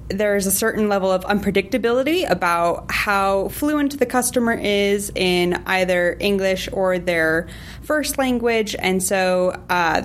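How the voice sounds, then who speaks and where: A woman's voice: medium pitch, slight background noise. A woman library associate speaking in an articulate, formal way in a panel discussion.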